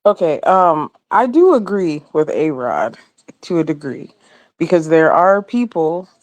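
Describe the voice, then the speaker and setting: A woman speaking casually as a panelist, measured and mediating between differing views. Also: low-pitched, big pitch swings, dry recording. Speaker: podcast panelist.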